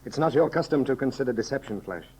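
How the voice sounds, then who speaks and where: An actor playing a grave, loyal companion and adviser, speaking formally and with measured solemnity in a 1930s radio serial. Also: male voice, mid-range voice, animated, a little mellow, close to an everyday voice. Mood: solemn, calm. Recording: noisy 1930s radio recording.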